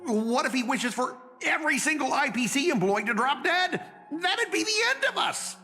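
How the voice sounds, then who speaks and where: A woman's voice: high-pitched, expressive, normal speed, sharp tone, full character voice. A woman playing an anxious, indignant young corporate underling pleading with a superior in a video game. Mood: alarmed, pleading. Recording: long hall reverb over music.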